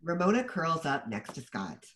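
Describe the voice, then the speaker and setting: A man's voice: a little high, big pitch swings, normal speed, fairly bright tone. A man narrating the stage directions of a screenplay at a table read.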